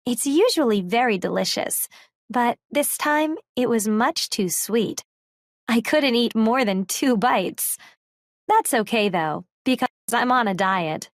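A woman reading a scripted listening exercise slowly and carefully. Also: fairly high pitch, expressive, no room sound, clean studio sound. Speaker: recorded listening exercise speaker. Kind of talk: scripted read-aloud.

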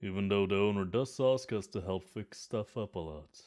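An eager, chatty gym bro, played by a man with a slightly low voice. He talks in an earnest, friendly way, explaining workouts in an ASMR roleplay. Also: normal speed, darkish tone, close to an everyday voice.

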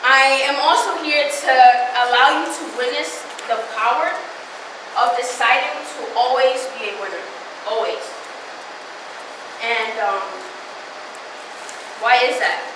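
A young woman from the Dominican Republic, a native Spanish speaker, talks to a crowd in a loose, chatty way. Her voice sits a little high. It carries from a distance through a very echoey hall with steady noise behind it. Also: takes it slowly, pauses often. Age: young adult. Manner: casual, chatty, with frequent fillers and laughs. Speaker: student speaker. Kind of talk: motivational talk. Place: lecture hall before a live audience. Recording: very echoey hall, mic far off, noisy.

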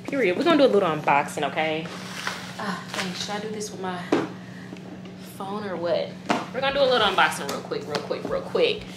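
A young woman with a Southern American accent vlogging casually from her apartment kitchen, chatty and excitable. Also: on the low side. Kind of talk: casual vlog chat. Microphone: camera at a distance. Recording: echoey room, clear background noise.

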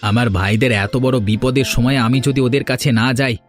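An actor playing a remorseful but determined prosperous younger brother in a cartoon, solemn and earnest. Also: male voice, mid-range voice, fairly even intonation, brisk, soft background music, close to an everyday voice. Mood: resolute. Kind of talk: cartoon moral story voice acting.

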